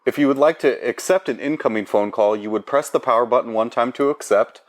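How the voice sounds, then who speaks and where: A man's voice: mid-range voice, fairly quick. Male presenter, mid-range voice, plain procedural read for a product how-to. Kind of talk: instructional product tutorial.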